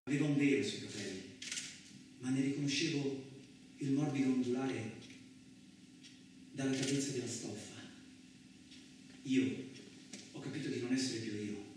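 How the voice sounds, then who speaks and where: An actor playing a battered, bewildered truck driver in a theatrical radio monologue, speaking in a halting, repetitive, colloquial way. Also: male voice, mid-range voice, steady tone, a little mellow, lightly acted. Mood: dreamy, anguished. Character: battered, bewildered, rough-spoken Italian truck driver telling his ordeal. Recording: very echoey, distant, clearly noisy recording.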